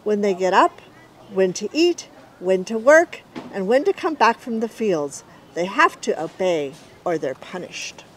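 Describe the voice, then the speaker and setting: A woman voicing a gentle messenger creature in a storybook, earnest and resigned. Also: mid-range voice, very animated, unhurried, a little hiss or hum, rough recording.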